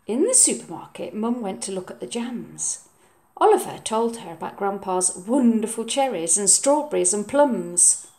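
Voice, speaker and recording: A woman reading a children's story aloud in a measured, expressive way. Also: mid-range voice. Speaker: storybook reader.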